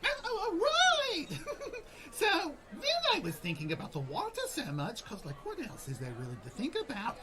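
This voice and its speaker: A woman's voice: high-pitched, huge pitch swings, normal speed. A woman playing a hyperactive, scatterbrained little male sorcerer in a comedy radio play, giggly and rambling.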